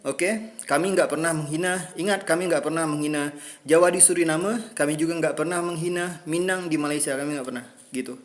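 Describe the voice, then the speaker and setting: A man's voice: a little high, steady tone, a little fast. A man from the Riau Islands making a firm, earnest appeal to commenters. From Riau Islands, Indonesia. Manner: firm, scolding but pleading.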